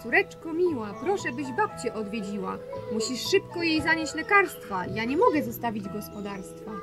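High-voiced caring mother played by a woman. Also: big pitch swings, moderate pace, over music. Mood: caring, urging. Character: caring, busy farm mother of little girl. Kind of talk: rhymed fairy-tale audio drama acting.

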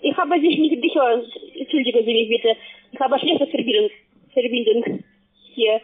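A high-voiced woman with a foreign accent works through a halting phone sales pitch. She is a non-native speaker with broken grammar. The line is thin and tinny, like a cheap call-center connection. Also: breathy edge. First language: not German.

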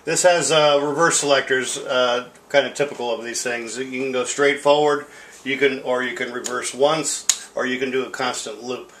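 A man's voice: medium pitch, fairly even intonation, normal speed, fairly bright tone, a little hiss or hum, low-quality recording. A middle-aged man giving a casual, unscripted equipment demonstration in a rambling, self-deprecating way. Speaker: tape deck seller demonstrating equipment. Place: indoor room at home. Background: music from record and tape playback.

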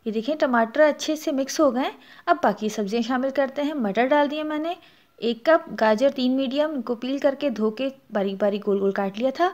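A woman's voice: sits high, moderate pace, a little mellow, dull audio. A woman with a Pakistani Urdu accent hosting a cooking channel, narrating a recipe step by step and adding practical tips. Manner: explanatory, instructive, gives practical tips.

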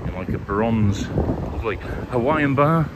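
Upbeat vlogger talking to camera while walking a seafront; phone mic, heavy wind and road noise. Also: male voice, mid-range voice, lively intonation, unhurried, highs cut off. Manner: chatty, upbeat, addresses viewers directly. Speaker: travel vlogger. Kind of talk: casual walking vlog narration. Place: outdoors on a seaside promenade. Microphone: handheld phone camera. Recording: loud wind and traffic noise outdoors.

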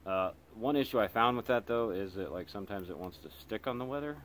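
Man, low-pitched voice, hesitant delivery, casual hobbyist demonstration, background noise. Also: expressive, fairly bright tone. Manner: hesitant, halting delivery with trailing phrases. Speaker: radio hobbyist reviewer. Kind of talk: casual unscripted product demonstration. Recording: clear background noise in room.

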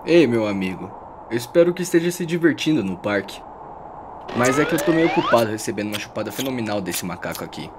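A man's voice: mid-range voice, sing-song, fast, noisy background, natural voice. A man with a Brazilian accent voicing a menacing, vengeful muscular dog villain, theatrical and foul-mouthed, in an over-the-top cartoon dub. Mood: smug. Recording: loud music behind voice.

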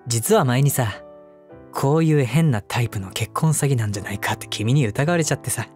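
A man acting as a devoted young husband, speaking gently and intimately to the listener as his wife in an audio drama. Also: mid-range voice, brisk, close to an everyday voice. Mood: fond, reminiscing. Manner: gentle, affectionate, softly chuckling between phrases. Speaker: voice actor. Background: background music.

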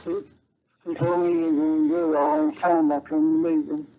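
A middle-aged man on a phone call, heard through a phone speaker, halting and pleading and repeating himself. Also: on the high side, little pitch movement, mellow. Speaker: prank call victim on the phone. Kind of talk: phone conversation.

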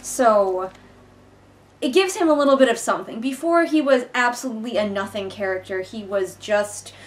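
A woman's voice: fairly high pitch, normal speed. A young woman giving casual book commentary in a sarcastic, exasperated manner, recorded indoors a little way from the camera. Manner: sarcastic, exasperated, mildly sweary. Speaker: vlogger reviewing a book. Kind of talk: casual read-along commentary. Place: living room couch, indoors.

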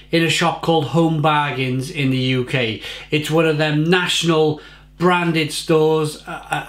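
A man's voice: a little high, roomy sound. A man, casually reviewing a beer at home and often repeating his words. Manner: chatty, repeats words, gestures with hands. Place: home kitchen, indoors. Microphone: fixed camera at desk.

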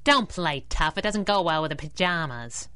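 A woman's voice: deep voice, expressive, moderate pace, fairly bright tone, smooth, no echo. A brash young woman crimefighter in an old-time radio adventure. She is sassy and tough-talking, swinging animatedly through her slangy wisecracks. The recording is close and dry. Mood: tough, needling. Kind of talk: 1930s radio drama acting.

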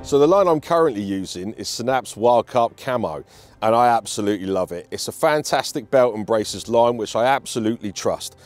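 A man's voice: medium pitch, expressive, brisk, faint music behind. A British man with a British accent, presenting a fishing feature as an angler and explaining things to camera. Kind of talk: instructional talk to camera. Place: outdoors on a lake bank.

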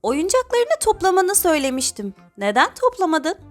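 A woman playing a gentle, patient animal mother who instructs her child in a children's cartoon. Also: very high voice, sing-song, moderate pace, soft background music. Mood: mildly scolding.

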